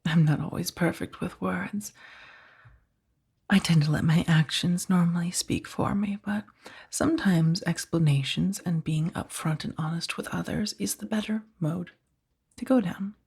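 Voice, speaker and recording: Low, breathy female mafia boss, acted by a woman. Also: exaggerated voice. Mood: amused, condescending. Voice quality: soft, breathy, often slipping toward whisper. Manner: measured, commanding, teasingly menacing. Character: powerful female mafia boss, coolly controlling, seductive kidnapper. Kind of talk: soft-spoken ASMR roleplay addressed to the listener.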